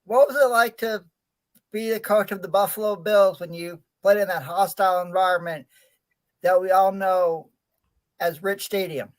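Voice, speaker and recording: A man's voice: high voice, steady tone, moderate pace, poor audio. A man putting a respectful question to a guest as a co-host on a sports radio interview.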